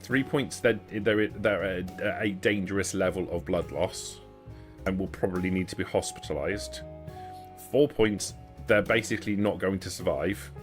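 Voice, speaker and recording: A man's voice: deep voice, moderate pace, soft background music. A man as game master, narrating and explaining a tabletop roleplaying game in an explanatory, improvised way.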